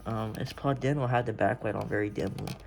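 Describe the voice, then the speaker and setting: A man giving a casual, unscripted show-and-tell as a hobbyist collector, rambling and halting as he corrects himself. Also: fairly low pitch, vocal fry, heavy background noise.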